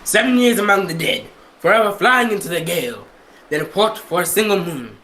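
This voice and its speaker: Young man as a high-voiced, bitter cursed captain. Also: animated, fairly quick, pushed and bright, a little hiss or hum, low-quality recording. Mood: anguished. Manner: declamatory, anguished, vengeful. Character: bitter, cursed, centuries-old male ghost ship captain. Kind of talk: old-time radio melodrama acting.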